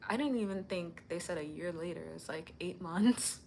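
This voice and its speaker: A young woman with a soft, sweet tone and a slightly low pitch, talking casually to her stream viewers as she works. She reads out their comments and answers them. The home recording carries a clear layer of background noise. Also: moderate pace. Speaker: livestreamer. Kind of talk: casual livestream chat while animating.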